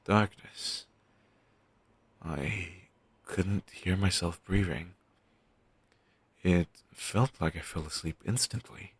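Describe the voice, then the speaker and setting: A man acting out a resigned zombie best friend for an intimate audio roleplay. His voice is extremely low and husky, and he talks slowly in halting, deadpan phrases, morose and quick to put himself down. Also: no echo, heavily acted. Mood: haunted, reflective. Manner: deadpan, halting, listless delivery with long pauses. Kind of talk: audio roleplay, intimate scripted monologue addressed to listener.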